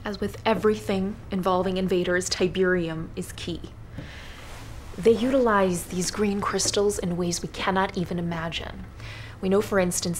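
Casting: actress playing earnest female scientist, low-leaning creaky voice, precise and grave, game cutscene interview. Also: lightly acted. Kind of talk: scripted expert interview in a game. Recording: faint ambient noise behind.

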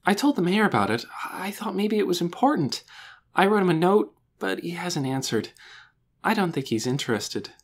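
A woman voicing an excitable, insistent and earnest young girl in a children's audiobook, eager and emphatic. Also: lowish voice, husky, pitched above the performer's own voice, exaggerated voice. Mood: earnest, explaining. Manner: eager, emphatic, words rushing out. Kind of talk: children's audiobook character dialogue.

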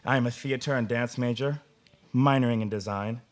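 A man's voice: medium pitch, fairly even intonation, a little fast, soft background music, natural voice. A young man playing a pompous, easily exasperated theater student in a comedic radio play, grandiose and theatrical. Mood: self-important. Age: young adult. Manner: grandiose, theatrical, whiny when thwarted. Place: small studio room, seated at a table with stage mic.

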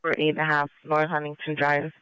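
A man's voice: fairly high pitch, monotone, a little fast, sharp tone, clear, resonant tone. A man dispatching police units in a clipped, procedural way over a muffled scanner radio.

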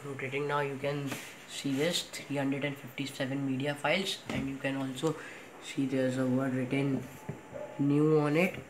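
A man with an Indian accent giving an unscripted step-by-step tech demonstration in a halting, repetitive way. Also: mid-range voice, level tone, background noise. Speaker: tech tutorial presenter. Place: indoor room in a home, in front of a TV.

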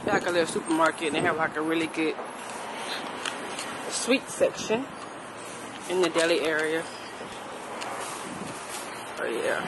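A woman's voice: sits low, a little slow, pauses often, very noisy. Chatty young woman vlogging on a phone. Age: young adult. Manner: chatty, relaxed, addresses viewers as y'all. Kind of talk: casual vlog chat to camera. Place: restaurant, supermarket and home kitchen. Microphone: phone held at arm's length.

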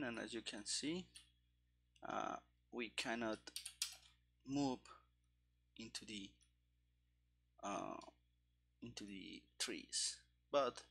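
A man in his thirties thinking aloud as he codes live, halting and muttering in fragments into a headset mic. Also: mid-range voice, vocal fry, a bit bright. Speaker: game developer streaming his coding. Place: small room indoors.

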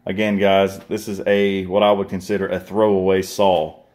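A man's voice: deep voice, little pitch movement, moderate pace, a little mellow. A man in casual chat, rambling and folksy as he unboxes and looks over a chainsaw for his viewers, filming with a handheld phone at his workbench. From southern United States. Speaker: vlogger reviewing a chainsaw. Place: workshop or garage indoors, workbench. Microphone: handheld phone camera.

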